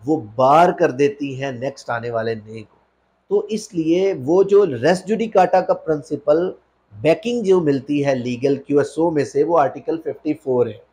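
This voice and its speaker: A man's voice: fairly high pitch, warm tone. A man with a Pakistani accent lecturing on law in an explanatory, didactic way, mixing English phrases into his speech.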